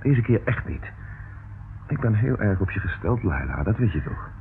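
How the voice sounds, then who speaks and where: An actor playing a police inspector in a radio play, probing and teasing but with a tender side. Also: male voice, lowish voice, big pitch swings, normal speed. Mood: tender, earnest. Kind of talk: radio play acting in Dutch. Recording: muffled, narrow-band, noisy old radio recording.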